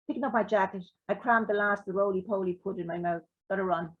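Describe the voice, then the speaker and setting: A woman reading aloud as a blunt, sweary working-class man who drives a forklift, in her own husky voice. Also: mid-range voice, steady tone, quick pace, narrow, tinny audio, close to an everyday voice. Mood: gruff, blunt. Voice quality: rough, sweary talk.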